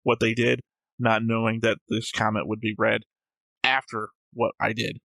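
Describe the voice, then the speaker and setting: A man's voice: fairly low pitch, monotone, moderate pace, gravelly, a bit bright, dry recording. A man chatting casually as a reaction show co-host, rambling through his points and arguing them out. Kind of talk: casual discussion of an anime.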